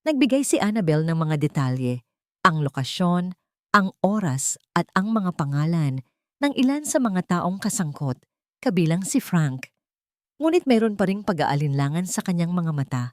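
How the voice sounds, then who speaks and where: A woman's voice: fairly low pitch, sing-song, normal speed, no echo, clean studio sound. A woman reading a dramatic romance story aloud as narrator. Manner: dramatic storytelling, reads all parts herself.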